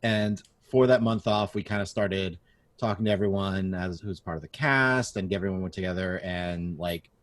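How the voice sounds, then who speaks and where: A man chatting casually on a panel as a podcaster, talking in a candid, informal, somewhat halting way. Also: deep voice, dry recording.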